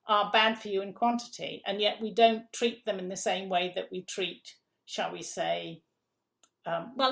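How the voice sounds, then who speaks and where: A middle-aged woman from England talks in an interview with a gravelly edge to her voice. Her pitch sits in the middle range, and she makes her points emphatically, repeating phrases and posing rhetorical questions. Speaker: food policy guest. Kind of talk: conversational interview answer.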